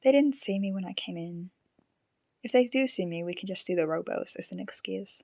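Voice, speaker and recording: Woman as a wry, creaky-voiced theatergoer. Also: medium pitch, brisk, warm tone, no room sound, natural voice. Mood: casual. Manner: dry, casual banter. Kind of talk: conversational audio drama acting.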